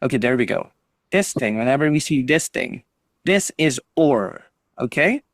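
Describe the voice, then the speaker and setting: A man's voice: mid-range voice, animated, normal speed, gravelly, dry recording, clean studio sound. A man teaching an online English class over a video call, patiently drilling students on pronunciation and encouraging them.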